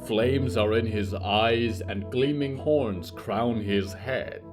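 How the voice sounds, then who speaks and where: A man narrating scripted horror fiction as host, in a theatrical, deliberate style. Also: fairly low pitch, over music.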